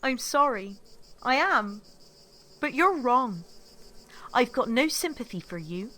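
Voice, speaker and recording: An actress playing an earnest, starstruck young woman in an audio drama, speaking gently with hesitant wonder. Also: fairly high pitch, sing-song, takes it slowly, heavily acted. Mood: earnest, reproving. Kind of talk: naturalistic audio drama dialogue.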